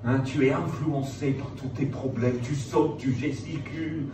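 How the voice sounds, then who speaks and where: A middle-aged man preaching to a live audience, telling stories in an animated, theatrical way. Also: mid-range voice, unhurried. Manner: animated, theatrical retelling with acted-out dialogue. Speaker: preacher, former pastor. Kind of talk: storytelling sermon to a live audience. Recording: very echoey room, distant mic, clear noise.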